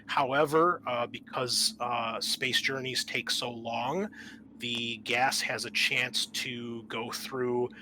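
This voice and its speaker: A man's voice: medium pitch, fairly even intonation, slow pace, noisy background. A man speaking as host and game master, narrating and explaining a tabletop roleplaying game.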